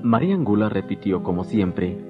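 Low-voiced male radio storyteller. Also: very animated, a little fast. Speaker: narrator. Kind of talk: dramatic scripted storytelling for radio. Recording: dull lo-fi 1990s radio recording, music behind.

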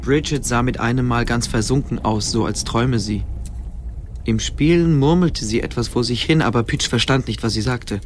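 An actor narrating a fantasy radio play as a grave, calm storyteller with measured suspense. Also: male voice, mid-range voice, a little hiss or hum, natural voice. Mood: suspenseful.

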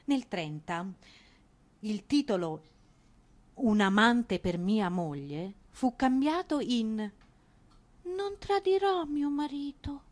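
A woman delivering a theatrical narrated monologue, ironic and expressive, with dramatic pauses. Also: a little high. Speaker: stage narrator and performer.

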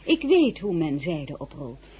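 Radio drama: dignified crown princess, commanding and determined, warm dark timbre, wide-ranging intonation. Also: female voice, deep voice, big pitch swings, unhurried, faint music behind. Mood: brisk, commanding. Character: determined, imperious crown princess, a merchant's daughter. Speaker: actor.

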